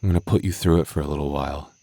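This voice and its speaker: A man's voice: a bass voice, fairly even intonation, rapid-fire, mellow, no echo, voice pushed down. A man playing a flustered, bashful young male game master in an intimate roleplay audio drama, stammering, sighing and mock-stern with his childhood friend. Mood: playful, bossy.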